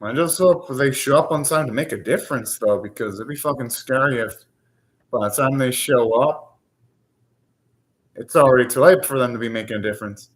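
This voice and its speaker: A man's voice: mid-range voice, normal speed. A young man hosting a live anime reaction, talking casually, ranting and swearing now and then.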